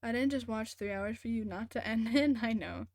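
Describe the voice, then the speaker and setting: A woman's voice: fairly high pitch, normal speed, a little mellow, dry recording, clean studio sound. A woman chatting casually on a live game stream, making short, informal, reactive remarks. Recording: music behind the voice.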